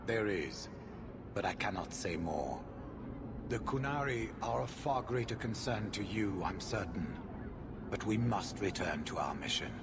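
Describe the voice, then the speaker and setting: An actor playing a stern male warrior of a sworn order, speaking in a formal, grave and measured way. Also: on the low side, lively intonation. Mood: solemn. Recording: game audio over ambient noise.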